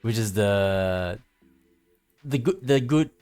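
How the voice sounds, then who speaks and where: A man streaming a game with casual commentary, thinking aloud in a halting, rambling way and repeating his phrases, with game music behind him. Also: lowish voice, lively intonation, a little mellow.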